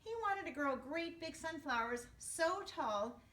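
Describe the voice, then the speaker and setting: A woman's voice: sits high, expressive, unhurried, fairly bright tone. An older woman, a first grade teacher, reading a storybook aloud to young children expressively, with explanatory asides. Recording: echoey room, distant microphone.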